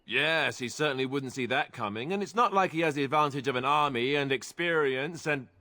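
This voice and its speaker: An actor playing an earnest young warrior companion in a fantasy video game, plain-spoken with a dry, sarcastic edge. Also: male voice, medium pitch, brisk, sharp tone, no echo, close to an everyday voice.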